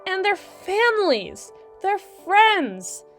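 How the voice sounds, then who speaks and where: A woman playing a brisk, condescending mermaid lawyer in a scripted ASMR roleplay, articulate and pointed, lecturing with deliberate dramatic pauses and dry wit. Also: extremely high pitch, sing-song, a bit bright, exaggerated voice. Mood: grave, emphatic. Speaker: audio roleplay performer. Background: background music.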